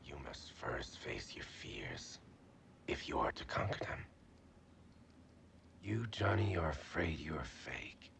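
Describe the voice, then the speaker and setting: A man acting a solemn, cryptic mentor from a fantasy film. He speaks slowly and gravely in a hushed, creaky voice, with distant movie clip sound. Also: extremely low pitch, sing-song, whispered, heavily acted. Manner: slow, grave, deliberate. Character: solemn, cryptic male mentor advising fighters.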